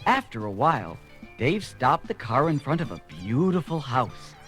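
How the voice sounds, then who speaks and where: Animated male children's storyteller. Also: medium pitch, moderate pace, over loud music. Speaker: narrator. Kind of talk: scripted children's story narration.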